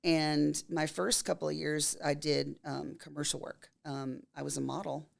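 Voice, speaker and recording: A woman in her fifties from Texas, a makeup artist telling stories in a casual interview, her voice scratchy and hoarse from a cold. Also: deep voice, flat delivery, moderate pace. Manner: chatty storyteller, laughs often.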